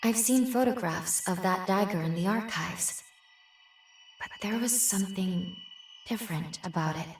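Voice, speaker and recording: A woman voicing a thoughtful, analytical researcher who reasons aloud in a measured way in a game cutscene. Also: medium pitch, lightly acted. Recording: roomy, slightly distant, light music.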